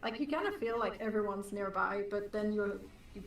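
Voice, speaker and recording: A woman artist whose first language is not English, chatting and teasing in a relaxed way on a stream. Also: mid-range voice, moderate pace, roomy sound, recorded from a distance, slight background noise, poor audio. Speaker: artist, co-host. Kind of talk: casual chat on a livestream.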